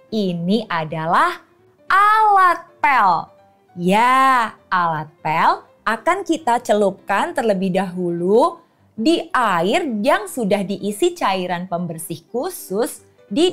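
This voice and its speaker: Lively woman telling stories to children. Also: medium pitch. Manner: animated, exclaiming, addresses children warmly. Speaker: children's storyteller and host. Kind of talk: cheerful storytelling and riddles for young children.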